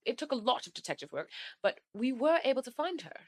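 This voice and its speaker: An actress playing a courteous, upbeat interviewer in an audio drama, speaking in a polite, measured way. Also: female voice, high-pitched, very animated. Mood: courteous, encouraging. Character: courteous, upbeat female interviewer arranging a reunion.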